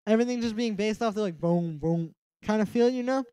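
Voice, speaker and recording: A young man with a distinctly nasal voice, talking loosely to viewers as he works on a track. He rambles and drops into quiet asides, with a faint hiss under the desk mic in a small home room. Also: high-pitched, a little fast. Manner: relaxed and rambling, with many "like" fillers, mumbling asides to himself. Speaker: music producer and livestream host. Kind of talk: casual livestream chat while producing music.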